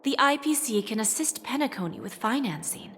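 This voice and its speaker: A woman playing a shrewd, composed corporate negotiator in a video game, speaking with deliberate, assured phrasing and quiet menace. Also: on the high side, expressive, soft background music. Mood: coolly threatening. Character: cool, shrewd female corporate negotiator, calmly threatening. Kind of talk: dramatic video game voice acting.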